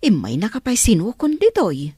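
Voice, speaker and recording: A woman's voice: medium pitch, huge pitch swings, normal speed, warm tone, breathy edge, no room sound, clean studio sound. A woman playing a curious, kindly female relative in a radio drama, with an Ilocano accent. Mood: curious, approving.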